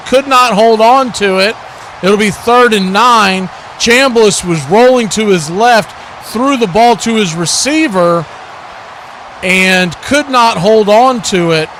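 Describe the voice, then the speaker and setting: A male sports announcer with a notably high-pitched voice delivers live football play-by-play. He works in short, clipped phrases that follow the action. A clear layer of noise sits behind his voice. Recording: clear steady background noise.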